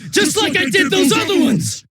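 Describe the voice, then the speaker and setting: An American man playing a cynical, bitter young villain in a video game, with a husky voice and a flippant, contemptuous manner. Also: very high-pitched, very animated, moderate pace, bright, forceful tone, a little hiss or hum, heavily acted. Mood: enraged, unhinged. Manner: flippant, mocking, contemptuous drawl. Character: cynical, bitter, sneering young male villain turning unhinged.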